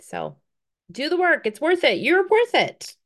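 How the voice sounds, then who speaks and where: A woman's voice: high-pitched, huge pitch swings, measured pace, fairly bright tone. A woman in her fifties giving an earnest, encouraging motivational talk on a group call. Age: 50s. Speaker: guest speaker.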